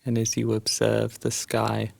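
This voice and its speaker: A man guiding a sleep meditation as a narrated story, soothing and hushed, leaving long pauses between phrases. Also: fairly low pitch, monotone, vocal fry, dry recording.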